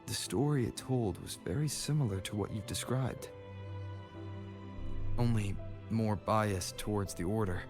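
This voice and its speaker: A man playing a calm, earnest young adventurer in a video game, thoughtful and measured. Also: lowish voice, lightly acted. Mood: calm, inquiring. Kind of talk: scripted video game dialogue. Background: music.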